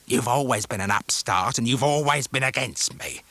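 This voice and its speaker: Sullen, hostile clerk, voiced by the reader, low and resentful. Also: male voice, moderate pace, sharp tone, dry recording. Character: sullen scheming male clerk, bitter and hostile.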